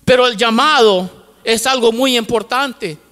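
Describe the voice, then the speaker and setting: A man's voice: very high voice, a little slow, sharp tone, clean tone. A middle-aged male pastor preaching fervently on a church stage into a handheld mic, repeating phrases for emphasis, a native English speaker who mixes in English words. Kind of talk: sermon. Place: large church stage with lights. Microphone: handheld microphone. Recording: long hall reverb from church auditorium.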